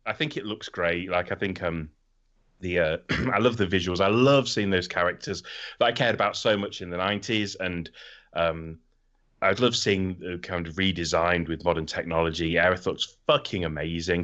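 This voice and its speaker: A man's voice: low voice, steady tone, moderate pace, fairly bright tone, highs cut off. A middle-aged man hosting a casual podcast about video games, talking informally and swearing freely. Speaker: podcast host.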